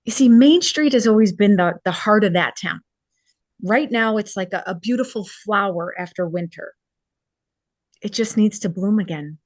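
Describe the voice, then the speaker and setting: A woman's voice: medium pitch, moderate pace, no echo, close to an everyday voice. An actress reading a hopeful, persuasive small-town woman in a holiday romance table read. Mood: hopeful, persuading.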